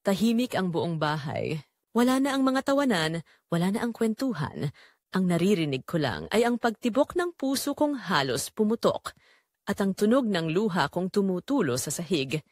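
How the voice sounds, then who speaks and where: A woman acts out a remorseful Filipina mother in a radio-style audio drama. Her mid-pitched voice rises and falls dramatically, anguished and confessional, in a close, dry recording. The accent is Filipino and the delivery is solemn storytelling. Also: very animated, normal speed, darkish tone, no echo. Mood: grieving. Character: guilt-ridden Filipina mother and wife confessing her betrayal. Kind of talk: dramatic first-person audio drama narration.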